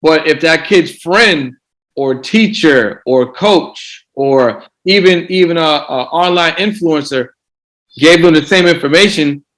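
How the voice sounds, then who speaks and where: A man's voice: on the high side, measured pace, a bit bright, rough recording. Man coaching, repeats himself. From Boston. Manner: repeats words while gathering thoughts. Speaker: guest coach. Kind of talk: casual podcast interview.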